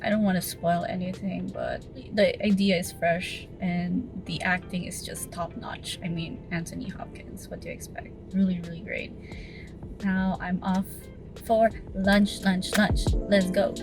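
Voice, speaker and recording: A woman's voice: on the low side, flat delivery, a little slow. A Filipino woman in her twenties, a native Filipino speaker, chatting casually to camera in a vlog and laughing often. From Philippines. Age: 20s. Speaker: vlogger. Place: outdoors and in a city on a day trip. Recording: distant mic, loud background music.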